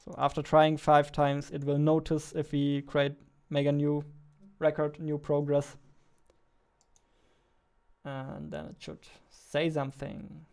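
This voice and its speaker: A man in his twenties talks casually, thinking aloud like a developer at his desk. His voice is fairly high for a man, clean and smooth. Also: monotone, slow, a little mellow. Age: 20s. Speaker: game developer, video host. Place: small indoor room at a computer.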